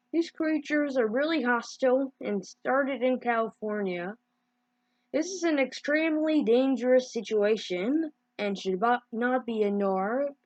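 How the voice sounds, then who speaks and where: A woman improvising mock emergency news bulletins as an amateur announcer, with halting delivery and mid-word corrections. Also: on the high side, a little mellow, dry recording, lo-fi sound.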